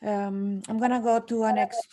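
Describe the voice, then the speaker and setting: A woman moderating a round table, speaking spontaneously and haltingly with repeated words. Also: fairly high pitch, little pitch movement, a crowd in the background. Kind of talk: moderating a panel, spontaneous speech.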